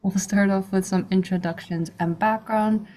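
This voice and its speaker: A young woman asking prepared interview questions clearly, as if reading from a script. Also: medium pitch, fairly even intonation, moderate pace, darkish tone. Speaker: student interviewer.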